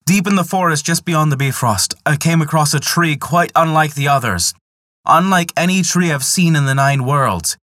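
A man's voice: fairly high pitch, moderate pace, no room sound, studio quality, pitched above the performer's own voice. A man voicing a sly male trickster god who pleads desperately and coaxes eagerly in a retold myth. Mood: wheedling. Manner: pleading, wheedling, excitable.

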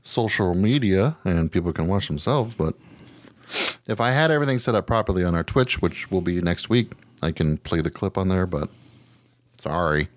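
A man's voice: low voice, animated, moderate pace. A man hosting a podcast, chatting casually about odd news in a slangy, joking, profane way. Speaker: podcast host. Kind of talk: casual news chat with a co-host.

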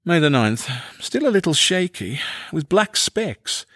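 A man playing a fussy, self-important Victorian English gentleman, narrating his comic diary with precise, earnest pomposity. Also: a little high, big pitch swings, unhurried, no echo, clean studio sound, full character voice. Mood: fussy, aggrieved.

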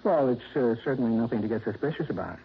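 An actor playing an earnest, troubled insurance claims man in a 1960s radio drama, hesitant and quick to protest. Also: mid-range voice, expressive, slight background noise, lightly acted. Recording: dull lo-fi vintage radio broadcast.

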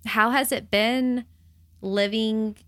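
A woman's voice: a little high, steady tone, slow pace, a bit bright, dry recording, clean studio sound. A woman from the United States hosting a podcast, asking questions in a friendly way, thinking aloud with fillers. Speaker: podcast host. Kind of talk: casual interview chat.

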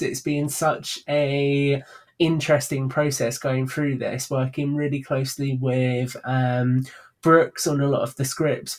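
A man talking casually as a guest on a podcast about his creative work. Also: mid-range voice. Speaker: podcast guest, audio drama writer and producer.

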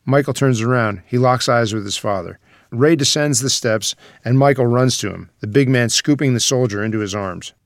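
A man's voice: medium pitch, normal speed, no echo, clean studio sound. A man reading stage directions as scripted screenplay narration.

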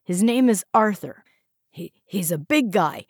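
A man's voice: very high voice, animated, slow, creaky, fairly bright tone, no echo, clean studio sound, voice pushed up, exaggerated voice. A woman voicing a terrified captive man who stammers and pleads. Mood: desperate. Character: terrified captive young man blurting secrets.

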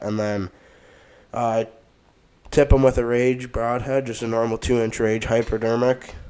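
A man with a stuffed-up nose, co-hosting a podcast and talking through his gear in a relaxed, rambling way. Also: mid-range voice, clean tone. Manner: relaxed, rambling, many fillers. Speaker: podcast co-host. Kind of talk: casual gear talk.

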